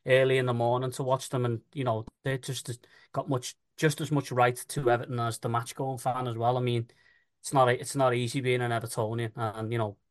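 Casting: male football fan co-host, mid-pitched voice, earnest and colloquial in casual discussion. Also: flat delivery, fairly quick.

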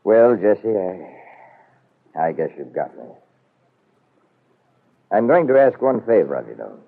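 An actor playing a proud, wily middle-aged businessman in a 1940s radio soap, husky, wry and measured. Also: lowish voice, big pitch swings, full character voice. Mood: wary, defiant. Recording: muffled old radio broadcast.

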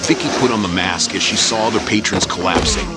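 A man's voice: deep voice, fast talker. A man giving scripted story narration as the narrator of an audio drama. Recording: loud music under the voice. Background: loud dramatic music.

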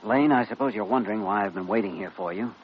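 Casting: principled young physician, lowish voice, firm and measured, vintage radio drama, muffled recording. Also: male voice, sing-song, gravelly, slight background noise. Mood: stern, concerned. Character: earnest, principled young male doctor, steady and firm. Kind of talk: old-time radio drama acting.

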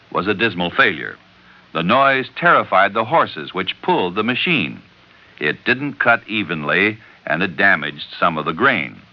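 A low-voiced American man announcing on old-time radio. His scripted narration swings in a lively way, and the recording is slightly muffled.

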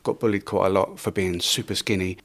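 A man's voice: low-pitched, fairly even intonation, brisk, fairly bright tone. A middle-aged man from Wales, a guest telling casual stories about his career, often swearing and acting out what people said. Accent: English, lost Welsh accent. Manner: swearing, laughing, acts out quotes. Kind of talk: casual interview storytelling.

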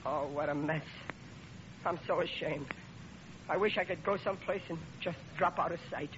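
An actor playing a hapless, blustering American working-class father in a 1940s radio comedy, with rough colloquial speech and a pleading manner. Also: a little high, moderate pace, close to an everyday voice. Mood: dejected. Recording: muffled, very noisy old radio broadcast.

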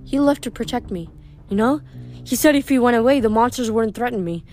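A woman acts out a frail old storybook mother whose mind has drifted. Her voice is high and sing-song, full of exclamations and fond, wandering sweetness, with music behind it. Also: lively intonation, fairly quick, a little mellow, close to an everyday voice. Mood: dreamy, fond. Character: frail, addled old mother, dreamy and fondly doting.

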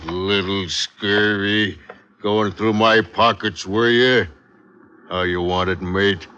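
An actor playing a big, gruff, slow-witted drunken sailor, threatening in short simple phrases in a radio drama. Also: male voice, on the low side, fairly even intonation, bright, forceful tone. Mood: menacing. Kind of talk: 1950s radio drama acting. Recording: muffled, noisy old radio recording.

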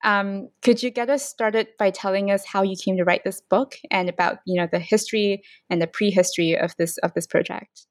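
Fast-talking woman hosting a book interview. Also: medium pitch, fairly even intonation, no room sound. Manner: reads a formal introduction quickly. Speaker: podcast host.

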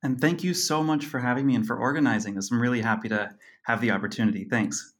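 Man, book author guest, casual rambling talk, lots of ums, self-amused, mid-pitched voice. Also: steady tone, fast. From Costa Rica.

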